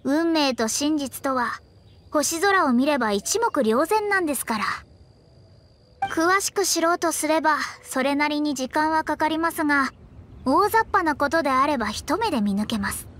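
High-pitched, proud young astrologer witch. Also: animated, moderate pace, a bit bright, slight background noise. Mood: smug. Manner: self-assured, boastful, formal polite speech. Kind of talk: anime game character dialogue. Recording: background music under clean voice.

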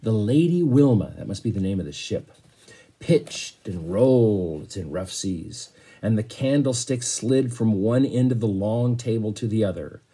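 A man in his fifties reading a story aloud, pausing for casual explanations. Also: fairly low pitch, lively intonation, dark tone, breathy edge. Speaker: teacher reading to a class. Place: small bedroom, indoors.

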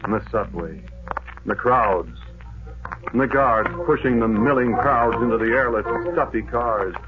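An actor playing a weary, lovelorn war veteran, narrating in a brooding, poetic, measured way in a 1940s radio drama. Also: male voice, mid-range voice, close to an everyday voice. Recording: noisy, dull 1940s radio recording.

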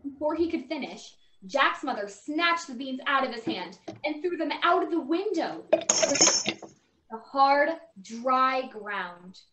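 A woman's voice: high voice, animated, slow pace. A woman reading scripted storybook narration on a video call from a bedroom. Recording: noisy, roomy video call audio.

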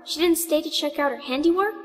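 Woman as a high, anxious talking companion cube. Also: quick pace, lightly acted. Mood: cautious. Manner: pleading, cautioning. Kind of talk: fan comic dub acting. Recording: long reverb over background music.

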